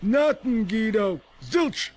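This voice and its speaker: A man's voice: high-pitched, very animated, takes it slowly, darkish tone, slight background noise, heavily acted. An actor playing a dim, eager brute of a henchman in a video game, with crude gangster talk. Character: dim, eager brutish henchman, big ape. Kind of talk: video game character acting.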